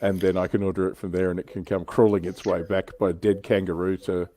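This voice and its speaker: Low-voiced Australian man hosting. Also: flat delivery, quick pace, dark tone. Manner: dryly joking. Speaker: show host. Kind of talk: casual livestream chat.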